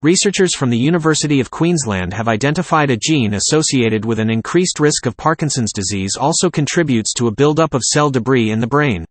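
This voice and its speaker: A man's voice: mid-range voice, animated, fairly quick, long pauses, no echo. A man reading scripted practice passages aloud as a narrator.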